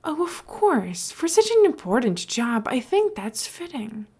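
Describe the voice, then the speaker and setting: A woman reading the part of a calculating male mayor and would-be villain in a story, persuasive, measured and businesslike. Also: high-pitched, big pitch swings, a little mellow, slightly rough, pitched above the performer's own voice, full character voice. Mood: coaxing.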